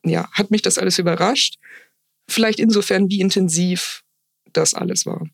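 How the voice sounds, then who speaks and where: A woman of thirty-two talks casually as a guest in an interview. Her voice has plenty of creak and fry at an average pitch. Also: fairly even intonation, normal speed, no echo, clean studio sound. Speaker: podcast guest, hospice volunteer. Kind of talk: casual conversational interview answers.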